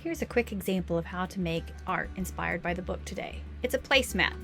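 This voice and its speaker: A woman's voice: lowish voice, animated, a little fast, creaky, over music. A woman giving step-by-step craft instructions as a tutorial narrator.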